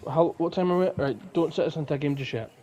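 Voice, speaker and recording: A young adult man co-hosts a podcast in a casual, conversational style. His voice sits at an average pitch for a man, with faint music behind it. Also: fast talker, warm tone, muffled recording. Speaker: podcast co-host. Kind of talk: casual chat.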